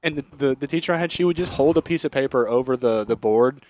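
A man's voice: medium pitch, fast, darkish tone. A young man in casual comedic podcast chat, telling crude anecdotes full of swearing into a close microphone. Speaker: podcast co-host.

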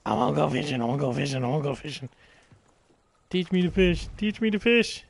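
A man's voice: fairly high pitch, expressive, fast, creaky. A man doing casual live gameplay commentary in a playful, exclaiming way, often talking to himself. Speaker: game streamer.

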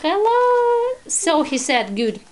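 High, animated woman reading to a child. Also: slow pace, a little hiss or hum. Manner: expressive, instructive, prompting word by word. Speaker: mother reading with her young child. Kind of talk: reading a picture book aloud to a child, with prompting questions. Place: at a wooden table indoors at home.

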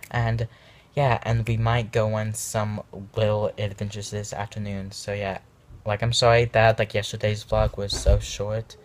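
A man's voice: on the low side, flat delivery, measured pace, a little hiss or hum. A teenage or young adult man chatting casually to a phone held at arm's length, rambling hesitantly with lots of filler. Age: teens to young adult. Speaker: vlogger. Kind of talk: casual vlog chat to camera. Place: small rooms indoors at home.

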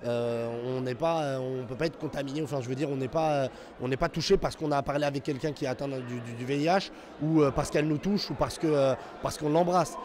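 A man's voice: medium pitch, a little fast, few pauses, crowd noise. A young man answering a vox pop in a lobby, earnest, repeating himself with stammering restarts, into a handheld mic. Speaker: passer-by interviewed. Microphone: handheld mic held by interviewer.

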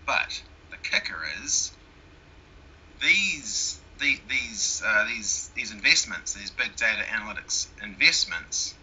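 A man's voice: lowish voice, lively intonation, slow pace, pushed and bright, slight background noise. A man with a New Zealand accent, a guest talking casually in an interview. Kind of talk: conversational interview. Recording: dull lo-fi sound.

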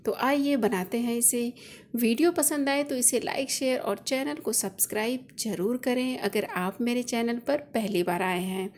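A woman's voice: fairly high pitch, moderate pace, darkish tone, slight background noise. A woman hosting a cooking channel, explaining each step of a recipe in a chatty, instructive voice-over. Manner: friendly instructive, chatty home-cook explaining.

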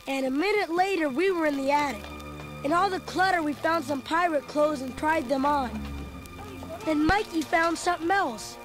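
A woman's voice: high-pitched, moderate pace, natural voice, low-quality recording. A woman voicing a chubby, food-loving boy who narrates a storybook record with chatty, comic asides. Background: music.

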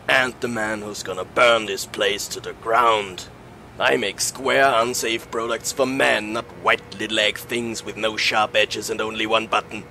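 A man playing a brawny, brash Australian boss who makes bombastic boasts in a gravelly voice. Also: fairly low pitch, sharp tone, a little hiss or hum.

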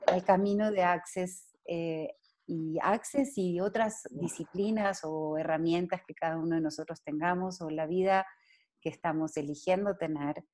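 A woman's voice: lowish voice, fairly even intonation, normal speed, darkish tone. A woman from Argentina with an Argentine accent, interviewing on a video call in a friendly way, laughing and searching for words. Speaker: interviewer, facilitator.

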